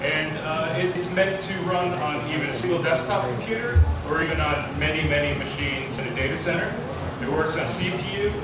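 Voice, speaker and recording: Man, conference talk, loud voice, big echoing hall, distant mic, room noise. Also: fairly high pitch, a little fast. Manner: loud, engages audience with questions. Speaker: conference speaker. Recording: echoey hall, mic from far off.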